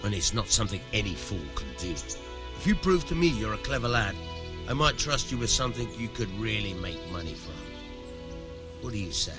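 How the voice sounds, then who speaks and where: An actor playing a shrewd, amoral village miller in a medieval video game, gruff, coarse and slyly persuasive. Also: male voice, on the low side, very animated, moderate pace, over loud music. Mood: sly, coaxing. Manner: sly, persuasive, coarse-tongued. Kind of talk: video game dialogue acting.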